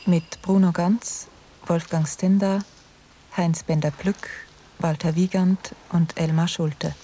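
Mellow, low-pitched woman announcer. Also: little pitch movement, slow, slight background noise. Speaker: announcer reading credits. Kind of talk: formal scripted credits announcement.

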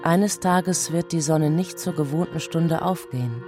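A woman giving a measured, solemn recitation of poetry for an artistic radio play. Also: low-pitched. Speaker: voice performer reading poetry. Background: soft plucked guitar music.

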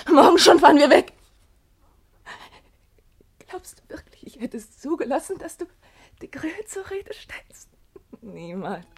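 A woman's voice: a little high, breathy edge, full character voice. An actress playing a proud, tormented young woman in love in a radio drama, swinging dramatically between scorn and tenderness. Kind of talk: German radio drama acting.

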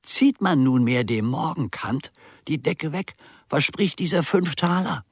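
A man's voice: fairly high pitch, lively intonation, a little slow, slightly rough, dry recording, clean studio sound, exaggerated voice. A man playing an aggrieved, world-weary old manservant in a radio drama, telling his story wryly and theatrically in quaint, clipped phrases. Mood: exasperated, weary. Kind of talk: monologue radio drama acting.

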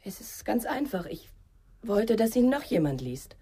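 An actress playing a hesitant, quietly candid woman in restrained radio play dialogue. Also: medium pitch, very animated, a little slow, slightly rough, full character voice. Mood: reluctant, candid.

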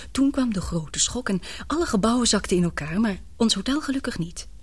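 A husky, earnest, frightened young woman. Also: fairly low pitch. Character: frightened young woman confiding in her lover. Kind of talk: radio play acting.

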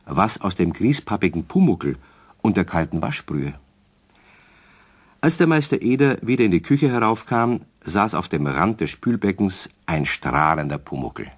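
Storyteller for children's radio play: low, animated, husky, wry. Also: male voice, normal speed. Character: storyteller narrator of a children's tale. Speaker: narrator. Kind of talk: scripted children's story narration.

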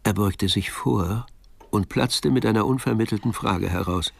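A man's voice: fairly low pitch, moderate pace, creaky. A man narrating a radio drama in a scripted, literary storytelling style. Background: music.

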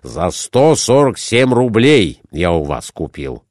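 A man voicing a blunt, suspicious merchant in a storybook reading, questioning in a measured, pointed and persistent way. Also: lowish voice, sing-song, a little mellow, no room sound, studio quality. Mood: suspicious, probing.

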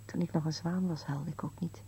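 An actress playing a wistful, plaintive elderly wife in a radio play, questioning insistently and sometimes muttering. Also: female voice, low voice, fairly even intonation, a little fast, warm tone, slight background noise, close to an everyday voice, poor audio. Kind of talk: Dutch-language radio play acting.

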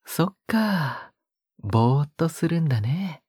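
A man playing a soft-spoken, doting, possessive young man in an audio drama, coaxing in a breathy, tender murmur that often drops to a whisper. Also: fairly high pitch, animated, slow, no room sound, clean studio sound, full character voice. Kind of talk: intimate close-mic audio drama acting.

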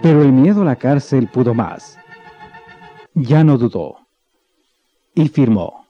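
A man narrating a legend in a radio drama with measured storytelling. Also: medium pitch, sing-song. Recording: dull lo-fi recording with faint music.

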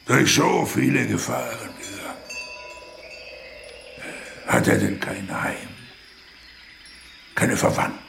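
An actor playing a weary old chieftain in a German radio drama, grave and halting, with a gravelly, breathy voice. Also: male voice, lowish voice, fairly bright tone, heavily acted. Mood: searching, puzzled. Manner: slow, halting, deliberate. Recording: echoey, with clear background noise.

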